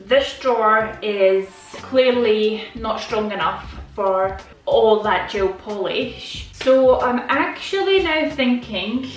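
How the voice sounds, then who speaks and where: A woman's voice: mid-range voice, poor audio. A woman from Estonia talking casually and playfully to herself and her viewers, like a home vlogger. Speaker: vlogger, nail technician. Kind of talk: casual chatty vlog voice-over while tidying.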